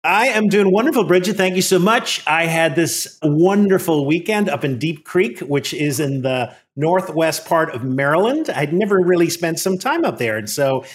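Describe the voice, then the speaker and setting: A man's voice: a little high, expressive, moderate pace, few pauses, slightly rough. A man from Northern Ireland with a Northern Irish accent, co-hosting a podcast and speaking in an earnest, reflective way, often repeating words as he gathers his thoughts. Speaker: podcast co-host. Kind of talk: reflective conversational podcast talk.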